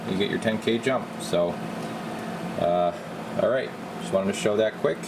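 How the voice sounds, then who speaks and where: Man, low-pitched voice, casual rambling technician demo, clear background noise. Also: unhurried. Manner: informal, rambling, hands-on explaining. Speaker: radio repair technician and video host. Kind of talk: casual how-to demonstration.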